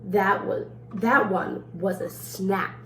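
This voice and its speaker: A woman's voice: medium pitch, takes it slowly, a bit bright. Woman reading as a boastful leprechaun trickster, echoey room. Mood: cocky. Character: boastful, mischievous two-hundred-year-old male leprechaun trickster. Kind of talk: picture-book read-aloud in rhyme. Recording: roomy echo, faint background noise.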